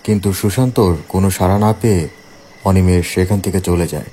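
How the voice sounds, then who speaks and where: A man narrating a scripted horror story in a measured way, with dramatic pauses. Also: low voice, fairly even intonation, warm tone, slight background noise.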